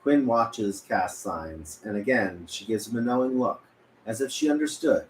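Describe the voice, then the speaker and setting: A man's voice: fairly low pitch, expressive, moderate pace. A man reading scripted narration as narrator at a table read. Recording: echoey room, faint background noise.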